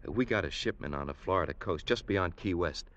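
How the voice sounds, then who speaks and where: An actor playing a rough but level-headed American smuggler in an old radio drama, plain-spoken and slangy. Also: male voice, sits low, expressive, brisk, a bit bright. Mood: firm, businesslike. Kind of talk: 1940s-50s radio drama acting. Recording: muffled old radio recording.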